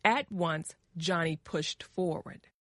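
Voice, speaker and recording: American woman, story narrator, lowish voice, very slow, careful diction, dry studio-like sound. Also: no echo. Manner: measured, carefully enunciated. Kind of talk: scripted story narration for English learners.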